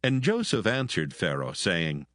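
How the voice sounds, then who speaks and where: Low-voiced, solemn male scripture narrator. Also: wildly swooping pitch, unhurried, fairly bright tone, dry recording, studio quality. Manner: solemn, formal. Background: soothing background music.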